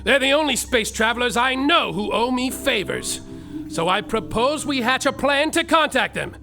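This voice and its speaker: Brash comic detective narrator, male actor, sharp bright tone, animated pitch, theatrical, live podcast, faint music. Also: normal speed, creaky, heavily acted. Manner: theatrical, exclamatory. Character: brash, foul-mouthed, excitable male detective narrating space adventure. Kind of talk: comedic live storytelling, mock-detective narration.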